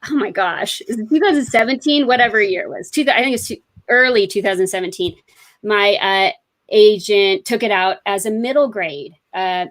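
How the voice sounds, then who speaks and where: A woman's voice: mid-range voice, normal speed. A woman author being interviewed, telling her story in a chatty, rambling way full of fillers. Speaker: author guest. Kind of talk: casual interview chat.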